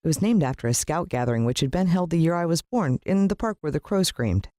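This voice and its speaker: Animated American woman reading a children's story. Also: low voice, fast talker, dry recording, clean studio sound. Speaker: audiobook narrator. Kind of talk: expressive scripted children's story narration.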